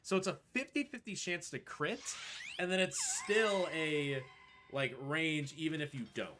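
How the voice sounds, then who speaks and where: A man's voice: on the high side, unhurried, background noise. A man giving casual live commentary as a speedrun commentator, in an informal conversational way.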